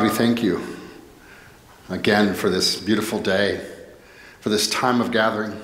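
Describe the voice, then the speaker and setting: A middle-aged American man, a pastor giving a sermon in a deliberate, phrase-by-phrase manner with reflective pauses. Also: medium pitch. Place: church sanctuary, at a wooden pulpit. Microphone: headset. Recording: echoey church hall with long reverb.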